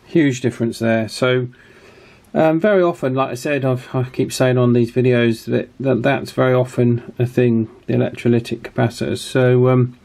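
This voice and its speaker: A man hosting a casual hands-on demonstration, chatting and thinking aloud as he goes. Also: medium pitch. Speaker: host of radio repair channel.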